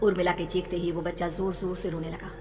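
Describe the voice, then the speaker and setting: A woman's voice: on the low side, level tone, fast, darkish tone. A woman narrating a scripted horror story in a dramatic storytelling manner. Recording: reverberant, with background music.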